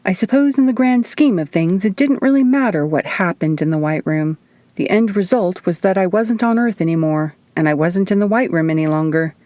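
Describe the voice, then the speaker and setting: A woman reads a first-person novel aloud in audiobook style. Her voice sits slightly low for a woman, and the sound is dry with very little room. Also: brisk, darkish tone, no echo. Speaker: author reading her audiobook.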